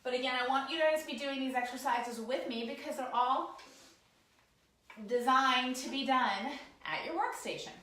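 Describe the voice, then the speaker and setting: Hoarse woman leading desk stretches. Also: high voice, moderate pace, sharp tone, very echoey, poor audio. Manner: casual, encouraging, talks while demonstrating stretches. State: hoarse from a cold. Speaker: posture and ergonomics instructor. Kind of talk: guided stretching lesson, talking to camera. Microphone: camera mic, sometimes turned away.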